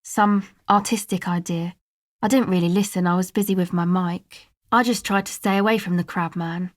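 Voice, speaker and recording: A woman's voice: on the low side, fairly even intonation, normal speed, dry recording, natural voice. A woman voicing a laid-back young woman from a street rave crew in a video game, talking casually in a dry, hesitant, slangy way. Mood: casual, slightly wary. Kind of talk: video game character dialogue.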